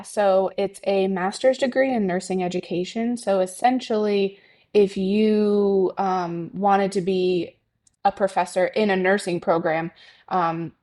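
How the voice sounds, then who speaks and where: A woman's voice: on the low side, darkish tone. A woman from Connecticut who works as a nurse, talking as a podcast guest in a chatty way with many ums and likes. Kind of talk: conversational interview.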